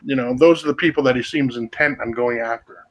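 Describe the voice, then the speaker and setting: Excitable man saying "dude" a lot. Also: mid-range voice, brisk, slight background noise, low-quality recording. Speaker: podcast co-host. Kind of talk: casual chat.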